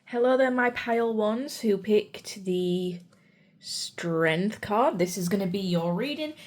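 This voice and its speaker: A woman's voice: mid-range voice, lively intonation, slow. A young woman from the UK, a tarot reader with a sore throat and a voice that cracks at times, giving a chatty, reassuring pick-a-card reading. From United Kingdom. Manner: chatty and reassuring, says "you know" and "guys" a lot.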